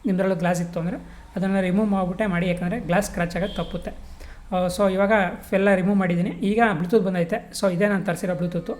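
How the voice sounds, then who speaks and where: A woman's voice: lowish voice, steady tone, fast talker, a little mellow. A woman giving a casual step-by-step tutorial, colloquial and mixing in English words. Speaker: vlogger demonstrating gear installation.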